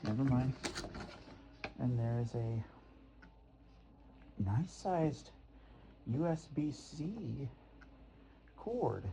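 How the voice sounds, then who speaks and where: A man's voice: mid-range voice, creaky, warm tone. A man thinking aloud in a casual unboxing vlog, muttering to himself and repeating words, on a noisy amateur recording. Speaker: product reviewer. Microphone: phone, handheld or nearby.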